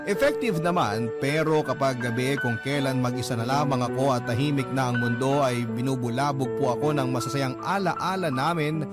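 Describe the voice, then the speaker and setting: A grieving letter-writer , read by a man in a radio drama, speaking at a plain middle pitch in a measured, earnest, respectful way. Loud music swells behind the narration throughout. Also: close to an everyday voice. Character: young man narrating his own grief in a letter.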